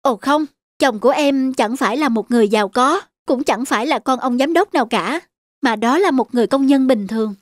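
Actress as an earnest, high-voiced young cartoon woman. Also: fairly quick, no room sound, clean studio sound, natural voice. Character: earnest young woman rebuking her former lover. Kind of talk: cartoon dub melodrama.

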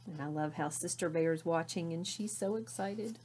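A middle-aged woman reading a children's story aloud in an expressive narrating style. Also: lowish voice, moderate pace, noisy background. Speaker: storybook reader. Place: small indoor room with curtain backdrop.

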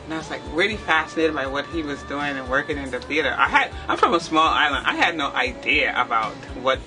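A middle-aged woman from Barbados, a theatre makeup artist who explains her work step by step in a lively way and laughs often. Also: deep voice, brisk, over music, poor audio. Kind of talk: demonstrating work while talking to the camera. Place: small backstage dressing room, indoors.

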